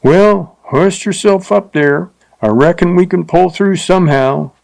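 A man voicing an uncouth, leering frontier rider with a rough drawl and bad grammar. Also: high-pitched, measured pace, a little mellow, voice pushed up. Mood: gruff, mocking.

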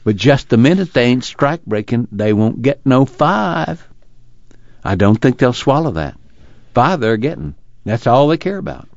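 A man's voice: medium pitch, very animated, measured pace, dry recording, lo-fi sound, pitched above the performer's own voice. Man voicing a blunt, skeptical farmhand. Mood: blunt, resigned. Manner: drawling, ungrammatical rural speech.

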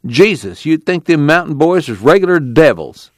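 Brief: man reading as a grizzled drifter telling a tale, folksy drawl, raised and lively. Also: a little high, moderate pace, dry recording, clean studio sound, pitched above the performer's own voice. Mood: storytelling. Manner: folksy yarn-spinning drawl. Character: weathered bearded male migrant storyteller.

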